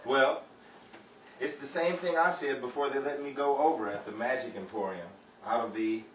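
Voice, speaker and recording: A man's voice: medium pitch, moderate pace. A man who acts in a show, speaking as announcer and chatting casually with the cast. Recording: echoey, distant pickup, noisy background.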